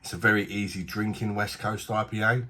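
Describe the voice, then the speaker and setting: A British man with a low, slightly husky voice that still has a bright, forceful edge. He chats casually and rambles a little, poking fun at himself. He sounds a touch bunged up from a blocked nose. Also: level tone, a little fast. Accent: British English. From Britain. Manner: chatty, rambling, self-deprecating. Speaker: beer reviewer. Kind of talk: casual video review.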